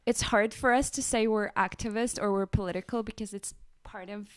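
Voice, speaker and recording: A young woman co-hosts a radio talk show in a casual, conversational style. Her voice sits at a medium pitch and is smooth and clear. The sound is dry, with hardly any room around it. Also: fairly even intonation, a little fast, no room sound. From Syria and the Czech Republic.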